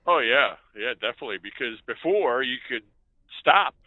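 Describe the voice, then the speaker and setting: A mature man with a medium-pitched voice chats informally as a trucker guest on a podcast. He has a folksy, storytelling way of talking. The audio is thin and phone-like, with no room echo. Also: expressive, normal speed. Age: middle-aged or older. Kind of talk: casual anecdotal chat.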